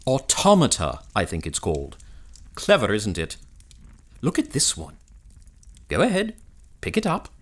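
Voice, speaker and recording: An actor playing a suave, formal gentleman in a period audio drama, quietly sly and assured with a wry manner. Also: lowish voice, wildly swooping pitch, unhurried, pauses often, full character voice. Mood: sly, amused.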